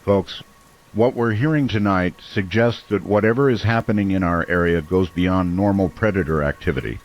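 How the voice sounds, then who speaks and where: An actor playing a grave, measured small-town American radio host in a scripted radio drama, warning his community. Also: low voice. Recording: dull lo-fi sound, faint noise.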